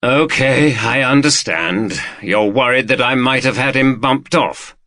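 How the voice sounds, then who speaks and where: Brief: narrator as a tired man, calm and firm, bright clean tone. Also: medium pitch, measured pace, no echo, clean studio sound. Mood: soothing. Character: weary, soothing man with a past temper.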